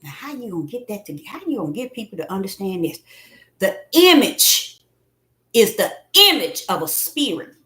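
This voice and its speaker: Woman preacher, bright high voice, lively swooping pitch, slow deliberate delivery, echoey room. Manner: preachy, repetitive, rising to fervent shouts. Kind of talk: livestream sermon.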